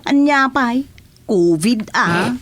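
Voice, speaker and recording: A woman's voice: a little high, animated, slow pace. A woman with an Ilocano accent playing a chatty village woman in a radio comedy-drama, bringing local news with frequent interjections. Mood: informative. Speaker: actor in a radio serial.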